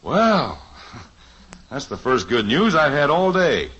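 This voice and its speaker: An actor playing a patient, wry middle-aged father in a 1950s radio sitcom, with a husky, dry, teasing delivery. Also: mid-range voice, very animated, slow, fairly bright tone, heavily acted. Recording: dull lo-fi old radio transcription.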